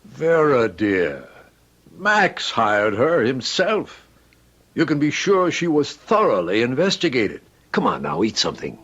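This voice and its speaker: A man's voice: mid-range voice, wildly swooping pitch, measured pace, fairly bright tone, husky, highs cut off, full character voice. An actor in an old radio drama playing a middle-aged ballet man, emphatic and theatrical, short-tempered yet protective. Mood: soothing, paternal.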